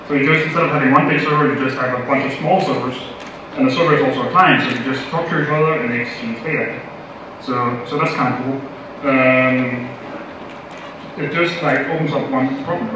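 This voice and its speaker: Man from Denmark speaking in an echoey hall. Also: mid-range voice. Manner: casual, playful, many fillers. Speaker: conference speaker. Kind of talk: tech conference talk. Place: large conference hall. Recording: echoey hall from far, dull lo-fi audio, noisy.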